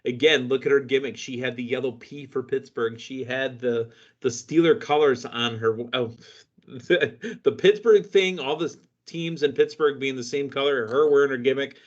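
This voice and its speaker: A man's voice: medium pitch, normal speed. A man hosting a wrestling podcast, recapping matches in casual, upbeat chat. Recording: dull lo-fi audio.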